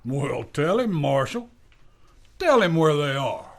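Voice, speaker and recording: A man with an American accent playing a bitter, defiant captured outlaw in a western radio drama, talking in a rough, accusing frontier drawl. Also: on the high side, big pitch swings, slow pace, heavily acted.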